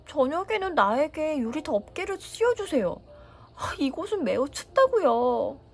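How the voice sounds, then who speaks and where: A woman's voice: high-pitched, big pitch swings, a little slow, darkish tone, a little hiss or hum, voice pushed up, full character voice. A woman voicing a fussy, complaining rose in a read-aloud children's story, speaking in a whiny, demanding way.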